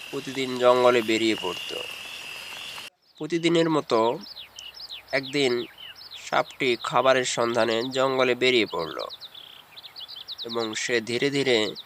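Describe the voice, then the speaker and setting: Guy narrating a kids animal story, real slow, bright forceful voice, bit of noise and birds behind. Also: medium pitch. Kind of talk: scripted children's story narration.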